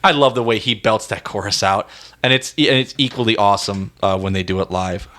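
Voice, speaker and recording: A man's voice: on the low side, a little fast, bright, forceful tone. A man co-hosting a casual music discussion, talking in a hesitant, self-deprecating, indecisive way. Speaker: music podcast co-host.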